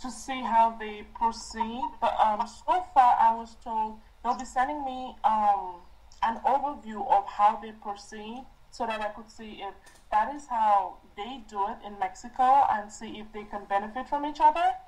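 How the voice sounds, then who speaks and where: Woman student, slightly high voice, reporting informally on a group project over a conference call. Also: moderate pace, a little mellow, rough recording.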